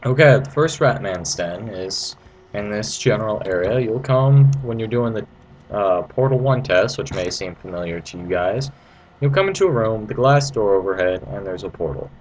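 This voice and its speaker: Low-voiced man doing a noisy game walkthrough. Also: lively intonation, moderate pace. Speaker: video creator giving a game walkthrough. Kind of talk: casual gameplay commentary. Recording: noisy amateur home recording.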